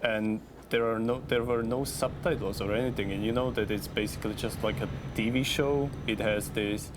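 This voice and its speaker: A man's voice: lowish voice, normal speed. A man who speaks English as a second language, chatting casually and hesitantly as a guest on a stream. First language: non-native English speaker. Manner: relaxed, hesitant, trails off and restarts. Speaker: company community manager, interview guest. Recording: steady background noise.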